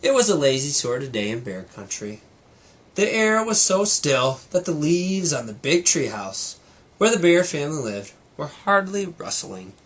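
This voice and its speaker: A man's voice: a little high, big pitch swings, a little slow, fairly bright tone. A man, a teacher, reading a children's storybook aloud to students with casual little asides.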